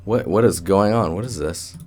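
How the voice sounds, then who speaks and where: A man's voice: sits low, normal speed, warm tone, a little hiss or hum. A young man hosting a casual livestream, rambling and joking in a colloquial way as he gives commentary. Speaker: livestream host, digital artist. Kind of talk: casual livestream chat and portfolio critique. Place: indoor room with door closed.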